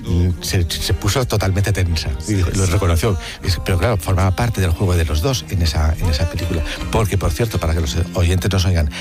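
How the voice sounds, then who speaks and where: A man's voice: sits low, fairly quick, few pauses. A man chatting about memories in a reflective, confiding storytelling manner on radio. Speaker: radio film contributor. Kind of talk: conversational anecdotes about cinema. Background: background music.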